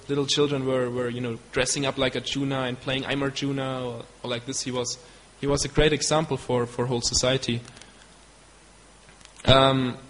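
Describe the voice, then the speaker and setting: A man from Germany with a German accent, a devotee giving a storytelling talk. He speaks haltingly and stops to search for English words. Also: medium pitch. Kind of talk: storytelling lecture. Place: hall in Belgium. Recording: long hall reverb with faint ambience.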